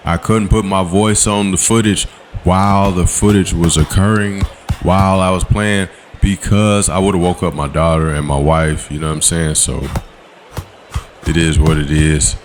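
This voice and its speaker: A man's voice: steady tone, slight background noise. A man, giving laid-back voice-over commentary on his gameplay in a slangy, coaching way. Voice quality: low voice. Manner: laid-back, coaching, slangy with quick "boom" call-outs. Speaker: gaming channel host. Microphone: voice-over recorded separately from the footage.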